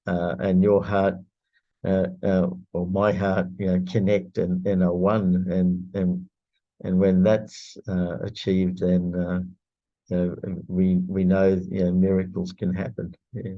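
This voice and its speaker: A man whose first language is Greek, talking in a casual group video call and pausing often with fillers. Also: low-pitched, completely flat delivery, muffled recording. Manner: halting, lots of filler pauses. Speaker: prayer group member. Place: indoor room shared with another participant.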